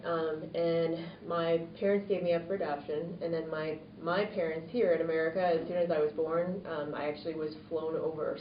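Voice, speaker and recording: A teenage girl telling her adoption story in a steady, straightforward way. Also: low voice, slight background noise. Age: teens. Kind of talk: casual interview answer. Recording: distant, muffled, echoey.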